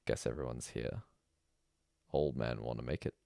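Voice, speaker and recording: A man's voice: a bass voice, steady tone, normal speed, vocal fry, warm tone, dry recording, clean studio sound, heavily acted. A man voicing a sober, plain-spoken village official who formally addresses a gathering in a storybook reading. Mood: businesslike. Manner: folksy, sober, formal calling out.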